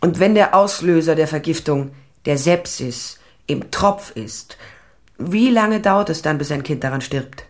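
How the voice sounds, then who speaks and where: A woman's voice: low voice, lively intonation, unhurried, darkish tone. An actress playing a determined, probing female private detective and ex-policewoman in a German radio crime drama. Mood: probing, concerned. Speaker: lead actor and first-person narrator.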